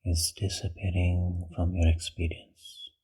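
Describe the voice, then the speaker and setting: A man leading a guided meditation in a hushed, breathy voice, with short phrases and long pauses. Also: low voice, monotone, poor audio.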